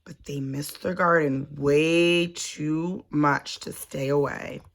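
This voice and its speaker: A woman reading a children's story aloud in an expressive, deliberate storytelling manner. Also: sits low, gravelly. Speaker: storybook reader, teacher.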